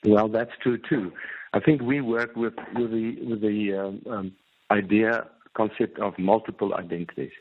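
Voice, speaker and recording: A man, a guest in a radio interview, talking haltingly and repeating words. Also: lowish voice, fairly even intonation, slight background noise. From South Africa. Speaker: Afrikaner community guest. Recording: tinny phone line.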